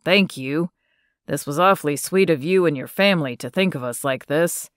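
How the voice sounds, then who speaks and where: A woman voicing an earnest, apologetic young man in an audiobook romance, lowering her voice and speaking quickly and sincerely. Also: no room sound, clean studio sound, lightly acted.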